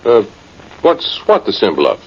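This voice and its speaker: An actor playing a plain-spoken, weary cavalry trumpeter in a radio drama, with a folksy, reflective, hesitant way of talking. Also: male voice, fairly low pitch, huge pitch swings, moderate pace, slightly rough, full character voice. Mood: deferential, hesitant. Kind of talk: first-person radio drama narration. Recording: muffled, noisy vintage radio recording.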